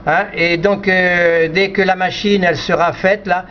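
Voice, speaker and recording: A man's voice: sits high, little pitch movement, unhurried. A man operating an amateur radio, explaining technical things casually in choppy, repeated phrases. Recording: slightly dull, faintly noisy radio chat recording.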